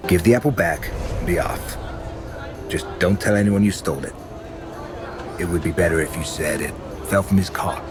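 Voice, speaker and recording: Stern inquisitor played by a man, deep voice, dry and commanding, dark fantasy game. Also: moderate pace, long pauses, music in the background, close to an everyday voice. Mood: firm, measured. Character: stern, sardonic male inquisitor in a grim medieval fantasy. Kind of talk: video game voice acting.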